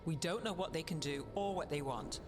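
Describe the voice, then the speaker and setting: A woman playing a composed, stubborn investigator in a fantasy video game, dry and measured. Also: low voice, over music, natural voice. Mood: cautious, measured.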